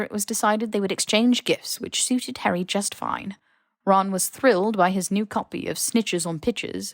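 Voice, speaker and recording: A woman's voice: medium pitch, no room sound. Woman narrating fiction, very dry sound. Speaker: podfic narrator. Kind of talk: scripted audiobook narration of fan fiction.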